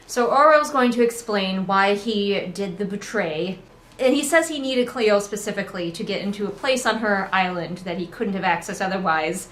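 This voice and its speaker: A woman's voice: mid-range voice, lively intonation, normal speed, a bit bright, reverberant. A woman giving casual, comedic book commentary as a host, in a sarcastic, sweary, conversational manner. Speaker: book critic and host of a readalong.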